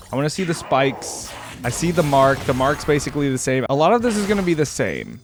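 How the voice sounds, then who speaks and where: A man hosts a gaming reaction video in an informal, slangy way. His voice sits at an average pitch, and he thinks aloud in a loose, conversational style. Music plays faintly behind him. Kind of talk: casual reaction commentary.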